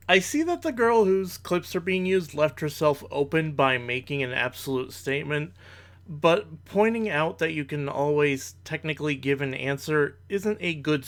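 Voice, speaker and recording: A man giving argumentative reaction commentary in a measured, analytical way with formal wording. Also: a little high, fairly bright tone.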